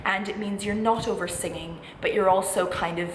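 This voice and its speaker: A woman's voice: medium pitch, fairly even intonation, fairly quick. A woman in her twenties giving chatty, informal advice in a reassuring, encouraging way, like a student vlogger talking to camera. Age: 20s. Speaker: vlogger, music college postgraduate singing student. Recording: echoey room with clear background noise.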